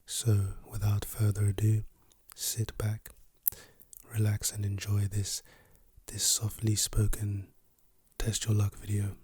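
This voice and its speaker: A man with a lost, hoarse voice, speaking softly and whispering in a playful, teasing way as an ASMR creator. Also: lowish voice, monotone, takes it slowly, dry recording. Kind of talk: soft-spoken and whispered ASMR.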